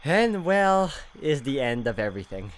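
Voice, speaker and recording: A man's voice: medium pitch, sing-song, moderate pace. A man playing through a visual novel, reading its narration aloud dramatically and adding casual asides. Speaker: game walkthrough player.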